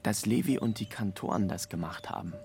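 An actor playing a simple young small-town rag dealer who answers haltingly and evasively in a radio drama. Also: male voice, fairly low pitch, creaky, a little mellow, faint music behind. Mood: evasive, submissive.

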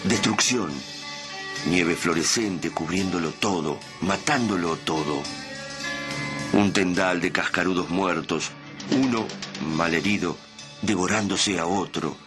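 A man narrating a radio play in a solemn, measured, dramatic style. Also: deep voice. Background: dramatic music.

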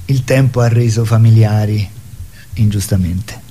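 A man speaks assertively in an interview discussion, with a warm, mellow and slightly low voice. There is some noise behind him. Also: unhurried. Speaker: theatre artist being interviewed.

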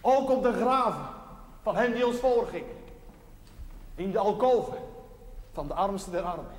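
An actor playing an earnest, playful, plain-spoken village chaplain, preaching emphatically with pauses in a television drama. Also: male voice, high-pitched, lightly acted. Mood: fervent, solemn. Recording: noisy, echoey church-like hall.

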